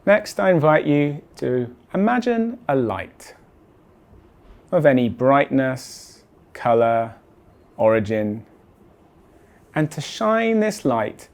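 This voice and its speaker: A man guides a body scan meditation at a mid-range pitch. His delivery is measured and deliberate, and his phrases settle softly at the ends. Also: creaky.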